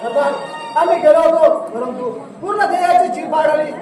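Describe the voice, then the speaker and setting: A man playing an anxious bandit henchman in a folk stage drama, halting as he reports to his chief. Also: extremely high pitch. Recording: very echoey hall, distant mic, crowd, music.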